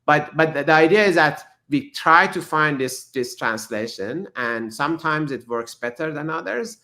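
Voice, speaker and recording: A man with a bright, forward voice talks through physics ideas as an interview guest. His first language is Persian, and he speaks in a thoughtful, halting way, restarting phrases and filling pauses with "um" and "uh". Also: fairly high pitch, animated. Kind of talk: explanatory interview talk.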